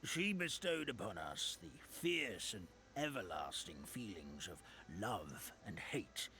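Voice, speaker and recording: An actor voicing a doddering, excitable old male storyteller in a video game, theatrical, halting and forgetful. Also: on the low side, a little hiss or hum, exaggerated voice. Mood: reverent storytelling. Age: older. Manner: halting, forgetful, stammering, sighing.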